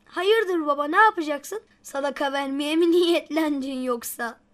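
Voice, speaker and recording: A woman's voice: sits high, unhurried. A woman voicing an eager, pious little boy in a children's cartoon, earnest and bubbly. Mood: curious.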